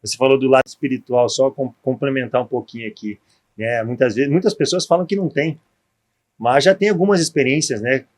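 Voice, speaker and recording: A man's voice: mid-range voice, a little fast, darkish tone. A man in his forties with a gaúcho accent from southern Brazil, a former footballer telling his story as a podcast guest, with frequent tag questions. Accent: gaúcho Portuguese. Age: 40s. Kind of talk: podcast interview.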